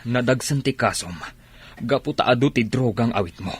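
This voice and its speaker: A man playing a humble, hardworking elder brother who works as a janitor, in a Filipino radio drama, husky and with a Filipino accent. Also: mid-range voice, moderate pace. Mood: reproachful.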